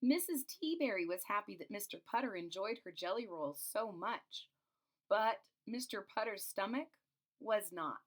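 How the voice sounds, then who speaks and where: A woman reads a picture book aloud for young children. Her voice sits at a medium pitch. She is expressive and pauses the story to explain things simply, like a teacher.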